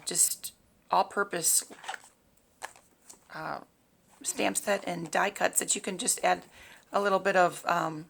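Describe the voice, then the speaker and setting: A woman hosting a casual craft tutorial in a raspy, hoarse voice, talking informally and a little haltingly as she works. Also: on the low side, a bit bright, a little hiss or hum. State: hoarse, throat-clearing from long talking. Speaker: host of a papercraft tutorial. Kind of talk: casual craft demonstration, talking while working.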